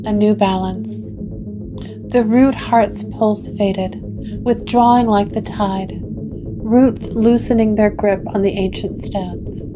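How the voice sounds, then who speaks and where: A woman narrating a gothic horror story with measured, atmospheric delivery. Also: mid-range voice, steady tone, rough recording. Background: guitar music.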